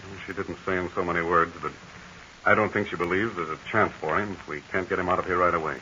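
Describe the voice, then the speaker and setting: An actor playing a brave, steady adult aviator hero in 1940s radio acting, calm and reassuring in manner. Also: male voice, low voice, fast talker. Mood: worried. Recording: hissy, muffled 1940s radio transcription.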